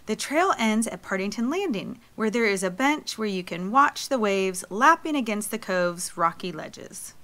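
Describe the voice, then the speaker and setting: A middle-aged woman reading scripted travel narration in a lively, inviting guide manner, as a voice-over. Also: medium pitch, normal speed, few pauses. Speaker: travel vlog co-host. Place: voice-over, not recorded on location.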